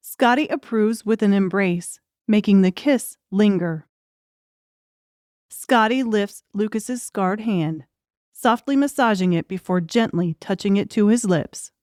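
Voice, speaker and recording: A woman's voice: medium pitch, unhurried, darkish tone, dry recording, clean studio sound. A woman reading a scripted audiobook story as a dramatic narrator. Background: music.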